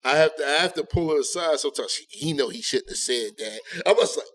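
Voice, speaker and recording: A man's voice: fairly high pitch, animated, quick pace, a bit bright. A man co-hosting a casual comedy podcast, chatting and telling comic stories. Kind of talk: casual chat, comic storytelling.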